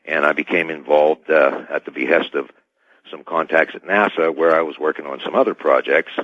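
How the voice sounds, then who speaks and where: A middle-aged man giving formal, halting technical explanations as an expert guest in a radio interview, over a dull band-limited connection. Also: a bass voice, fairly even intonation, dry recording. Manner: hesitant, formal, technical wording. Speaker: expert guest, scientist and inventor.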